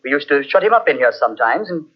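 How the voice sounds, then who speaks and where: Brief: an evasive host, slightly high voice, calm and reassuring; muffled old radio sound. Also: male voice, fast talker. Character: secretive male host brushing off fears. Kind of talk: 1940s radio acting. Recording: dull old radio transcription.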